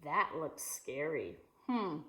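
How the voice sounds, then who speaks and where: Woman, teacher, fairly low creaky voice, animated story time, expressive, talking to young children. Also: slow. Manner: expressive, with gasps, exclamations and questions to young listeners. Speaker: teacher reading a picture book aloud to children.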